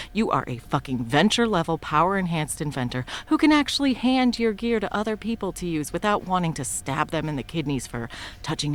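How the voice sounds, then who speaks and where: A woman playing a cruel, scheming female supervillain in an audio roleplay, taunting and profane, shifting between whispers and outbursts. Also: deep voice.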